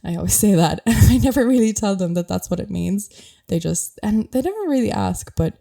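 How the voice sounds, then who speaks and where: A woman's voice: medium pitch, lively intonation, fairly quick, vocal fry, warm tone. A young Irish woman with a mild Irish accent hosting a podcast, chatting casually while sick with a cough and laughing often as she explains things. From Ireland. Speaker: podcast host.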